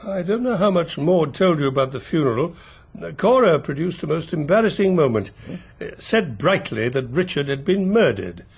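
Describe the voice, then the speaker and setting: An actor in a radio drama playing a mild, discreet English gentleman with an RP accent, polite and slightly hesitant. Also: on the high side, sing-song, normal speed, slightly rough, full character voice. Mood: polite, tactful.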